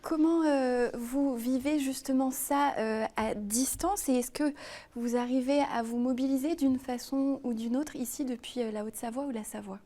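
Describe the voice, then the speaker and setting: A woman anchoring the evening news, her voice high and mellow. She asks her questions gently and steadily. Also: fairly even intonation, moderate pace. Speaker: news presenter.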